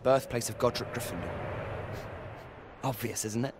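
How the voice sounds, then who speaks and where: Guy playing solemn teen wizard boy, normal pitch, terse and brooding, game dialogue. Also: normal speed, very noisy, lightly acted. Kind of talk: video game voice acting.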